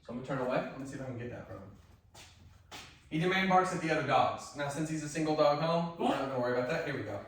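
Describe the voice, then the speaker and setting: A man's voice: a little high, racing. A man working as a dog trainer, explaining techniques in a conversational, instructive way while he trains a dog at home. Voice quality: loud voice. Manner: instructive, conversational, drops to soft commands to the dog. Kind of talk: hands-on training demonstration. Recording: very echoey room, mic at a distance.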